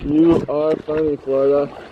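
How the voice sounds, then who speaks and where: A hoarse man vlogging casually while hiking, rambling and halting, with frequent laughs. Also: fairly high pitch, little pitch movement, lo-fi sound, background noise. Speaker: hiker vlogger. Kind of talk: casual trail vlog. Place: outdoors on a rural trail.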